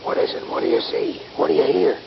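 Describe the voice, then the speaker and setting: An actor playing a cautious, anxious young Union soldier in a radio drama, wary and questioning. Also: male voice, fast, natural voice. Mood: wary, hushed. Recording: dull, hissy 1970s radio recording.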